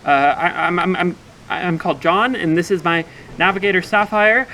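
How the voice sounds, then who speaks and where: A man playing a nervous traveler who makes halting, formal speeches in an audio drama. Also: high voice, sharp tone, a little hiss or hum, poor audio. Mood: flustered, awkward. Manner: halting, stammering, throat-clearing formality. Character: nervous young traveler stammering through a speech. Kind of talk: audio fiction acting.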